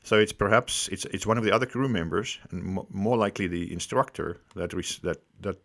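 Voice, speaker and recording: A man giving unscripted explanatory commentary as a host, speaking deliberately and emphatically, repeating phrases for stress. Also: sits low, a bit bright. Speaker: host giving accident analysis.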